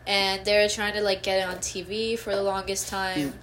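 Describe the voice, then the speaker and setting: A young woman in casual chat, rambling with lots of fillers and getting excitable. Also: mid-range voice, moderate pace, slight background noise, poor audio.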